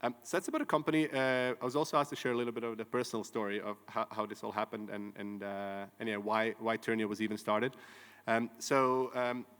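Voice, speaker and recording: A man's voice: fairly low pitch, fairly even intonation, a little fast, a bit bright, smooth. A man in his thirties from Croatia, a company founder giving an earnest conference talk with many "uh"s and restarts. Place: large conference hall. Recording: long hall reverb.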